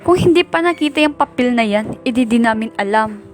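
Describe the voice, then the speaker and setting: Resentful Filipino teen daughter, woman performer; high voice, accusing and whiny, amateur radio drama over music. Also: animated, fairly quick, close to an everyday voice. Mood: bitter, accusing. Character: resentful, sullen teenage eldest daughter. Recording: background soundtrack under the voice.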